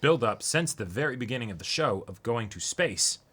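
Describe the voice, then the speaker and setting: A man's voice: mid-range voice, expressive, normal speed, pushed and bright, husky. A man co-hosting a reaction show, talking casually and analytically and often checking in with a "right?". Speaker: co-host of an anime reaction show. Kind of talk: casual discussion.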